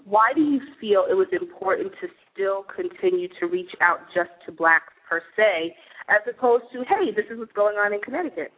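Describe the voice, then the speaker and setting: A woman hosting a live talk radio show speaks in a chatty, earnest way. Her voice comes through narrow and phone-like, and she sits at a mid pitch. She moves from topic to topic and introduces guests. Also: animated, normal speed. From New Jersey, USA. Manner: chatty, earnest, warm asides.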